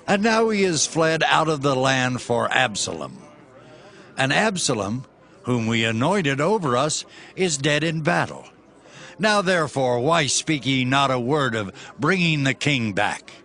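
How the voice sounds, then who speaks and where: A man's voice: medium pitch, lively intonation, a little slow, a bit bright. An actor playing a troubled Israelite in a dramatized scripture audio drama, declaiming earnestly to his countrymen. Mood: urgent, troubled.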